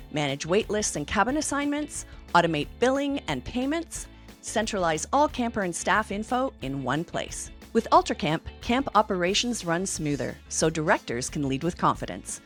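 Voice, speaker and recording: Low, lively-pitched woman podcast host. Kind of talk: conversational podcast hosting and advice.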